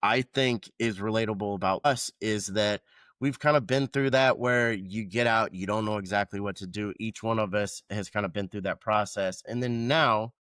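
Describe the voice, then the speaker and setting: A man's voice: lowish voice, normal speed, a bit bright, clear, resonant tone, no room sound. A man in his thirties from Georgia who hosts a podcast and talks in a loose, rambling way with casual swearing. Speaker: podcast host, veteran. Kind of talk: casual chat.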